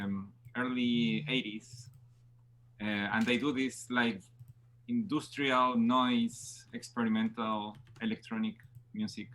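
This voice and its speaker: A woman's voice: rumbling low, a bit bright, a little hiss or hum. A Spanish-speaking woman musician speaking as a guest, hesitant and repeating words as she introduces a song. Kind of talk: casual chat.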